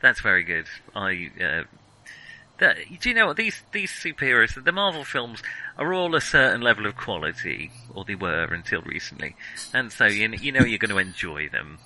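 A man's voice: fairly low pitch, very animated, normal speed, sharp tone, rough recording. A man chatting casually and jokily about films as a podcast co-host. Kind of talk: casual film chat.

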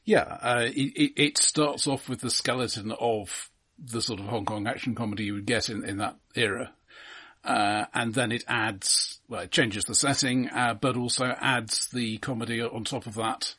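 A man co-hosting a film podcast, explaining his views in a measured, analytical way in casual discussion. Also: medium pitch, fairly even intonation, pushed and bright, husky.

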